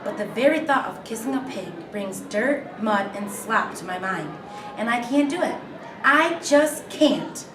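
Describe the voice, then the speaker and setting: A woman's voice: on the high side, expressive, a little slow. A woman on a school staff reading a children's story aloud. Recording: echoey room, distant mic, clear noise.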